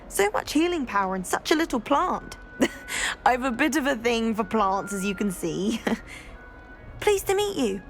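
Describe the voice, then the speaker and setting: A woman with a British accent playing an earnest, chatty teenage schoolgirl in a video game. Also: on the high side. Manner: eager, chatty, friendly. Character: earnest, plant-loving teenage schoolgirl named Samantha. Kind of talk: video game dialogue acting.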